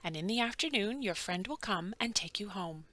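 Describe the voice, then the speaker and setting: A woman's voice: mid-range voice, lively intonation, normal speed, sharp tone. A woman voicing a kindly, reassuring hospital nurse in a children's storybook, soothing and matter-of-fact.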